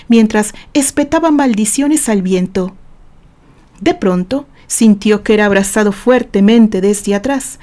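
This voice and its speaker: Slow-reading Mexican woman narrator. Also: mid-range voice, warm tone. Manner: measured storytelling. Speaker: author and narrator. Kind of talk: dramatic audiobook narration.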